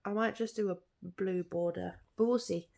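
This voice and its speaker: Late-20s woman vlogger, congested with hay fever, rambling and self-correcting, a gravelly edge. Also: mid-range voice, fairly even intonation, moderate pace, a little mellow. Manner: rambling, thinking aloud with fillers and self-corrections. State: stuffy-nosed from hay fever. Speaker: artist vlogger. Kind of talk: casual reflective vlog chat.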